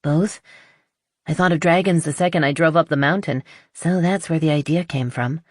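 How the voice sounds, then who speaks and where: A spirited woman artist voiced by an audiobook narrator, talking in a frank, animated, playful way. She is chatty and a little stubborn. The recording is dry and intimate. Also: low-pitched, normal speed, dry recording. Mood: chatty, enthusiastic. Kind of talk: audiobook character dialogue.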